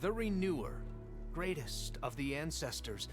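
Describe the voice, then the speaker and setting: Slow, pompous self-crowned savior. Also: male voice, on the high side, very animated, music in the background. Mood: exalted. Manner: pompous, stately, declamatory. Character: grandiose deluded male leader believing himself an ancient savior. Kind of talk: theatrical video game cutscene acting.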